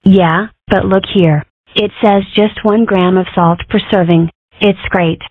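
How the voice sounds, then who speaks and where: A woman's voice: medium pitch, steady tone, a little slow, no room sound, natural voice. A woman voicing a cheeky, contrary young girl fox in an amateur comic dub, delivering dry jokes matter-of-factly. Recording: muffled, phone-like narrow sound.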